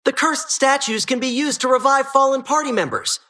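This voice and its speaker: An actress playing a gleefully sinister, disembodied dungeon host in a video game, mock-cheerful, teasing and theatrical. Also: female voice, high voice, a little fast, bright, forceful tone. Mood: playful, taunting. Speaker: game announcer.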